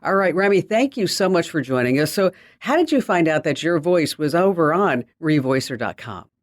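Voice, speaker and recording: A man's voice: fairly high pitch, big pitch swings, moderate pace, dry recording, studio quality. A man hosting a show, chatty and enthusiastic as he introduces a guest. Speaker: host.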